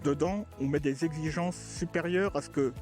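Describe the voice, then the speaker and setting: A man speaking as a local elected official on location in a village, giving an institutional statement in short, deliberate phrases. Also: on the high side, music in the background.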